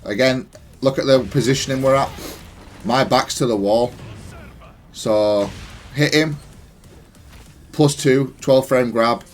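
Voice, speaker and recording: A man's voice: medium pitch, slow pace, long pauses, soft background music. A man giving matter-of-fact, analytical commentary on gameplay, thinking aloud in a stop-start way. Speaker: gamer explaining own match replay.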